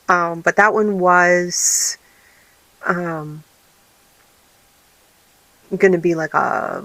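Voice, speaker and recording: A woman hosting a casual vlog, her voice raspy and tired, rambling with many fillers and restarts. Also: fairly low pitch, bright, forceful tone, no echo, low-quality recording. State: tired, recovering from illness. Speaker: vlog host and small craft business owner. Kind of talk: casual chatty vlog update.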